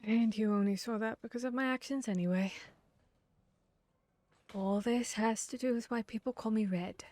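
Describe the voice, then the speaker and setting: A woman playing a guarded, bashful vampire hunter in an intimate ASMR roleplay, speaking earnestly and haltingly. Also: fairly high pitch, a little mellow, no room sound, natural voice. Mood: reflective, confiding. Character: guarded, bashful female vampire hunter confessing tender feelings. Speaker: audio roleplay voice actor.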